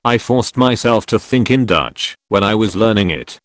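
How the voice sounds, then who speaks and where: A man reading online comment threads aloud as scripted narration, steady, with dips on short replies. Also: on the low side, moderate pace, no room sound, clean studio sound. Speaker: narrator reading forum posts.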